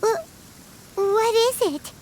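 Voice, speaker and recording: A woman voicing a tiny, childlike, curious and excitable companion creature in a video game, with a squeaky voice. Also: slow, slight background noise. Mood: curious, surprised.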